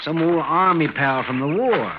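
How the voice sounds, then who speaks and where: An actor playing a genial, teasing town doctor in an old-time radio western. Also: male voice, a little high, expressive, moderate pace, lightly acted. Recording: muffled, noisy old radio recording.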